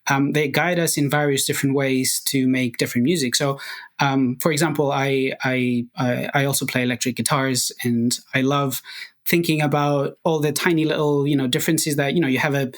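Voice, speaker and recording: A man's voice: mid-range voice, steady tone, moderate pace. A young man, a Greek native speaker, explaining ideas as a podcast guest, thoughtful and full of fillers and restarts. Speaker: guest researcher and musician. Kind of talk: technical podcast interview.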